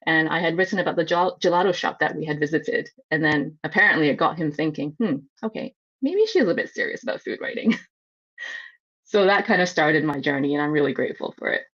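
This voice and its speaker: A woman from Hong Kong telling personal stories conversationally as a guest, chatty and expressive. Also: sits low, husky, lo-fi sound. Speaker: food journalist guest.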